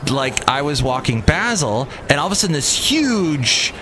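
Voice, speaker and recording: A man's voice: a little high, sing-song, normal speed. A man hosting a casual chat, reacting and asking his guest short questions. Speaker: host. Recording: noisy background.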